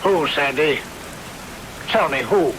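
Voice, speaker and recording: A man's voice: fairly high pitch, lively intonation, slow. An actor in a 1970s radio drama playing a debonair, amoral man who indignantly pleads innocence, on a hissy old broadcast recording. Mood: indignant, demanding.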